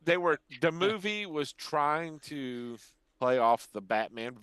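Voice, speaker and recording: A man's voice: fairly high pitch, animated, slow, a bit bright, clear, resonant tone, no room sound. A middle-aged or older man riffing casually as a podcast co-host, full of wisecracks. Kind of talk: casual group chat, riffing.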